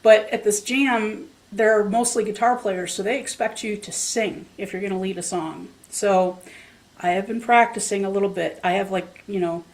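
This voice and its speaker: A woman's voice: medium pitch, fairly even intonation, normal speed, darkish tone. A woman who plays the dulcimer, chatting casually about a local jam, with lots of fillers and a self-deprecating humor. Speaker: dulcimer player. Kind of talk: casual chat. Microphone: home setup, playing in her room.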